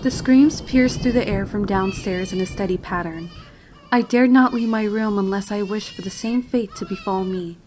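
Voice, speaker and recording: A woman's voice: mid-range voice, normal speed, darkish tone, natural voice. A woman voicing a frightened, tearful little pony filly, telling her horror story in a solemn, dramatic, emotive way. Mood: fearful. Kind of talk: first-person dramatic fanfiction horror narration. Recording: clear noise and sound effects behind. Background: storm and animal sound effects, noise.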